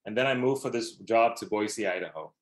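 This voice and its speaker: Low voiced guy in his 30s telling his story on a podcast, lots of uhs and restarts. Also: brisk. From Dubai, Middle East. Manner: rambling, many fillers and restarts. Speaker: podcast guest. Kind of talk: casual storytelling interview.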